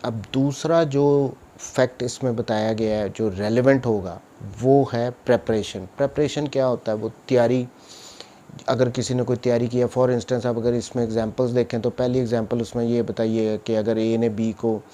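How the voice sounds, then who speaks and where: A man's voice: mid-range voice, fairly even intonation, normal speed, dark tone. A man with a Pakistani accent giving an explanatory law lecture, mixing in English terms and answering his own questions step by step.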